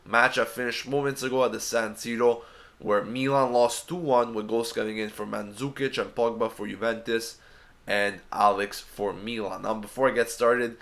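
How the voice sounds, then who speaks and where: Man, head cold, congested, fan channel host, football match review, earnest, opinionated, mid pitch. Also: steady tone, moderate pace, a bit bright.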